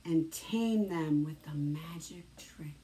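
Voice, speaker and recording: A woman reading a children's storybook aloud as a teacher, dramatically, with hushed phrases and drawn-out words. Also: sits low. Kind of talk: expressive children's storybook narration.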